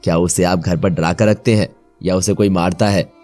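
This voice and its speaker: A stern, concerned doctor acted by a man from an animated moral tale. The voice is very low and mellow, advising gravely over faint music. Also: moderate pace, lightly acted. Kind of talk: animated moral story dialogue.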